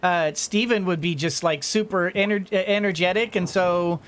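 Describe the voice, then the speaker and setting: A woman's voice: on the low side, fairly even intonation, normal speed. A middle-aged woman giving casual gameplay commentary, rambling, talking to herself and exclaiming playfully. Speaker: let's-play host.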